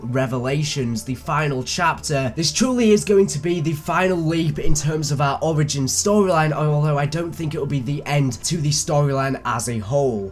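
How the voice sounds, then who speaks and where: A young man who is sick and whose voice sounds a bit off, hosting an eager, speculative breakdown of a game trailer. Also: a little high. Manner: eager, speculative, talks things through. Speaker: YouTube gaming channel host.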